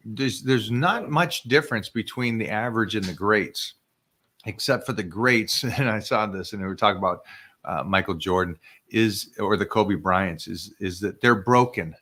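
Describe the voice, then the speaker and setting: Energetic man coaching on a live stream. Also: on the low side. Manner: energetic, emphatic, repeats words when excited. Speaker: fitness coach host.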